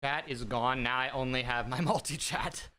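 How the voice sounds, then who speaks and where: A man hosting a live stream, chatting casually with viewers in a bright, higher-pitched voice, rambling and self-deprecating with lots of fillers. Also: fairly even intonation, normal speed, smooth. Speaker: livestream host. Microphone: desk streaming mic through an audio mixer.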